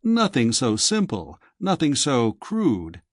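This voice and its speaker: Slick young male salesman done by a male narrator, polished lecturing patter, animated mid-range pitch, sci-fi audiobook. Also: slow, dry recording, clean studio sound, heavily acted. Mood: suave, instructive. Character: sleek young male dream-shop manager, smooth salesman.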